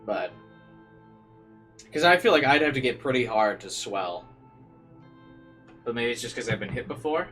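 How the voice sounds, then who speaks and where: A man with a mid-pitched voice reads a visual novel aloud in a casual let's play style. He mixes narration with informal asides and stumbles now and then. Faint music plays behind him. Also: lively intonation, normal speed, long pauses, a bit bright. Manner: informal asides, occasional stumbles while reading.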